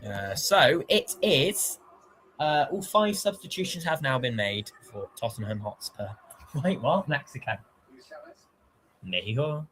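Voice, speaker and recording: A man's voice: mid-range voice, lively intonation, unhurried, a bit bright, a little hiss or hum, low-quality recording. A man hosting a stream, chatting casually and loosely commentating on a football match, with plenty of fillers. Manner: laid-back, rambling, with many fillers.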